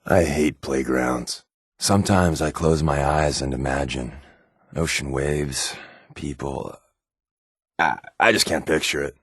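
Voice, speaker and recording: A man's voice: a bass voice, slow pace, fairly bright tone, slightly rough, no room sound, clean studio sound, heavily acted. A man playing a wry, laid-back spy companion in a post-apocalyptic video game, given to sardonic asides. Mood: subdued, somber.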